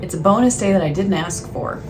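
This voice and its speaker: A woman's voice: mid-range voice, fairly quick. A woman chatting casually to camera as a book vlogger, in a rambling, self-deprecating way, often trailing off mid-thought. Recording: clearly noisy, ambient background sound.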